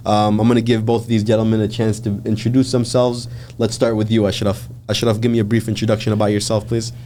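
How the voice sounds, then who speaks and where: A man from Michigan hosting a podcast, his voice low and mellow. He talks in a loose, chatty way, often pausing on fillers. A faint hiss sits under the recording. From Michigan, USA. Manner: relaxed, chatty, many fillers and restarts. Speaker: podcast host. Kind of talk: casual interview chat.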